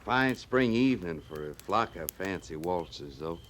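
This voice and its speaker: Male army sergeant, old radio western. Lowish voice, folksy and slyly deferential, faint noise. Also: expressive, measured pace, a bit bright. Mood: jovial. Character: folksy, wry, dutiful male cavalry sergeant. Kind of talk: 1950s radio western acting. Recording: noisy old radio drama recording.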